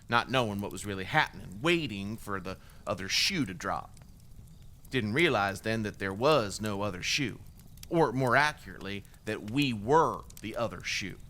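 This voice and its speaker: A man's voice: on the low side, sharp tone. An actor playing a remorseful, bitter former extremist gunman giving testimony in an audio drama, in a blunt, wry, profane conversational way.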